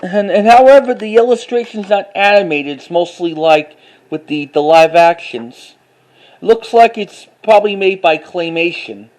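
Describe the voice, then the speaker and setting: A man with a high-pitched voice talks casually as he shows off a collection. He reads titles out and speaks in a halting way, often restarting or repeating a phrase. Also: darkish tone, rough recording. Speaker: collector showing finds to camera. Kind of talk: casual unscripted show-and-tell narration.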